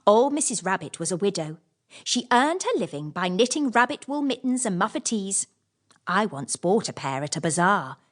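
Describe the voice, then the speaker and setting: A woman narrating a children's audiobook as scripted storytelling, in an expressive manner. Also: medium pitch, normal speed.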